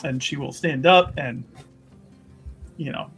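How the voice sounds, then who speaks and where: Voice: medium pitch, slow, fairly bright tone, husky. Player casually saying what their character does in a tabletop game, playful, music behind.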